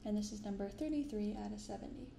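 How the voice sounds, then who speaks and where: A young woman speaking softly in an ASMR style, unhurried as she comments and reads aloud. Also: medium pitch, gravelly, echoey room, a little hiss or hum. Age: young adult. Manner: unhurried, hushed commentary and reading from cards. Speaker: host of a card-opening channel. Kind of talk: soft-spoken ASMR. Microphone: binaural microphone.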